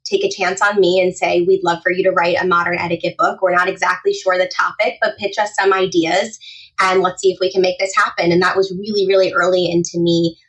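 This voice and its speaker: A woman's voice: fairly low pitch, level tone, fairly quick, a bit bright, low-quality recording. A woman in her twenties speaking as a podcast guest in a casual interview, talkative and often rephrasing as she explains. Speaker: podcast guest, etiquette trainer and author.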